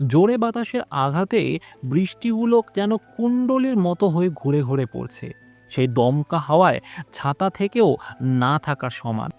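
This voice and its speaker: A man narrating a scripted horror story in a dramatic, expressive storytelling manner. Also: a little high. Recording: music behind the voice. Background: background music.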